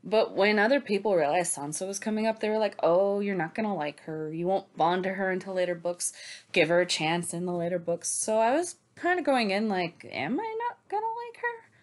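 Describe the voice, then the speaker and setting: A woman's voice: mid-range voice, expressive, brisk. A woman in a casual book discussion, speaking wryly and quoting others expressively. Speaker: book read-along panelist.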